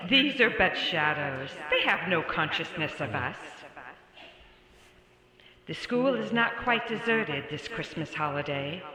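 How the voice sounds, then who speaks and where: An actor playing a serene, childlike yet ancient Christmas spirit in a radio drama, measured and gently commanding. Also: male voice, a little high, sing-song. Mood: gentle sorrow. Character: ageless, calm childlike spirit of Christmas past. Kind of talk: theatrical radio drama acting. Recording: echoey reverb, crowd sounds behind.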